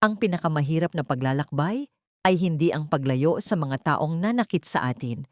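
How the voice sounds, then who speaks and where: A woman narrating a dramatic story as an audiobook, with measured, sentimental delivery in short phrases. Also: lowish voice, a little mellow, no echo, clean studio sound.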